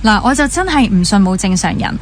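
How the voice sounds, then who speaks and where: A woman's voice: medium pitch, lively intonation, fairly quick, slight background noise, close to an everyday voice. A young woman with a Hong Kong Cantonese accent playing a gossipy, pampered only child obsessed with dating in a naturalistic radio drama. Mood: animated gossiping. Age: young adult. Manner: chatty, gossipy, mixes in English words.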